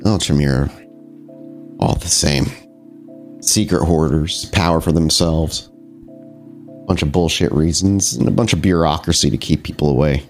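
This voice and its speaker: A man voicing a stoic, scornful father figure who speaks in a low, dry, contemptuous tone. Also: measured pace, pauses often, faint music behind.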